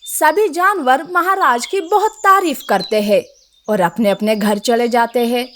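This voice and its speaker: A woman's voice: a little high, a little slow, fairly bright tone, slight background noise. A woman narrating a scripted children's story as a storyteller.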